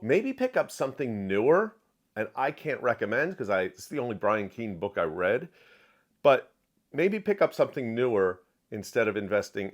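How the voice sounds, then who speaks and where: A man giving an opinionated book review, speaking emphatically and repeating phrases for stress. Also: mid-range voice.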